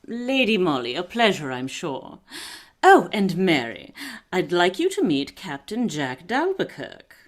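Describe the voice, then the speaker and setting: A woman's voice: lowish voice, big pitch swings, a little slow. A woman playing a commanding, shrewd aristocratic lady detective in a period radio mystery, with a breezy, imperious, theatrical manner. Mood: brisk, self-assured.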